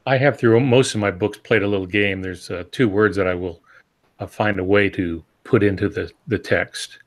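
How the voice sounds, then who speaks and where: An older man, speaking as a panelist in a casual discussion, measured and explanatory. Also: fairly low pitch, fairly even intonation. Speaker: podcast panelist and novelist.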